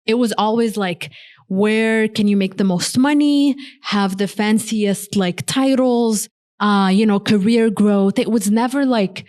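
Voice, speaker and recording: A young woman from Morocco, a software engineer guest, talking earnestly in a casual interview with many "like" fillers. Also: medium pitch, unhurried. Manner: heavy use of "like" fillers, earnest.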